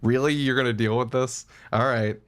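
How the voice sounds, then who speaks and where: A man talking as a podcast guest, casual and animated, thinking aloud and repeating phrases for emphasis. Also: medium pitch, normal speed.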